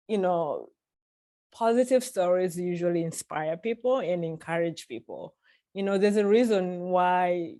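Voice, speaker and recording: A woman's voice: lowish voice, dark tone. A woman from Tanzania answering questions on a webinar panel over a video call, earnest, with many ums and restarts. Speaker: church policy panelist.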